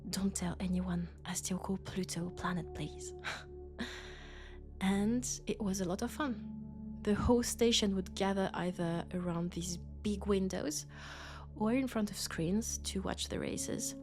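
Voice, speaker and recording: A woman with a French accent, sick with a cold, playing a gentle, sociable traveling doctor from Mars in an intimate sci-fi audio roleplay, chatty and soft. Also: mid-range voice, animated, unhurried, music in the background. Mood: chatty, amused. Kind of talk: intimate sci-fi roleplay acting, one-sided conversation.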